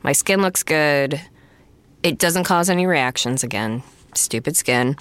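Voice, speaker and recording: Chatty woman guest on a podcast, low voice, lots of likes and laughs, dry mic. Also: expressive, unhurried, fairly bright tone, smooth, no echo. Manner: chatty, laughs easily, full of fillers. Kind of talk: casual chat.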